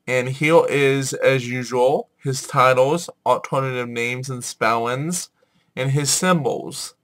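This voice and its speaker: Man, head cold, sore throat, informal video host, bright tone. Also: mid-range voice, takes it slowly. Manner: informal, apologetic and chatty. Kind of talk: casual explanatory video.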